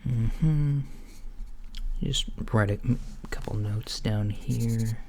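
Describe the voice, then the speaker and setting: ASMR roleplay, male, mild alien doctor: mellow, gravelly, hushed, understated bedside manner; lo-fi with faint background noise. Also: fairly low pitch, very slow. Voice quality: soft, breath-light, often near whisper. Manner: hesitant, understated, casual bedside talk. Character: mild, matter-of-fact alien doctor at a checkup. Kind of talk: soft-spoken ASMR roleplay. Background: writing sounds, soft ambience.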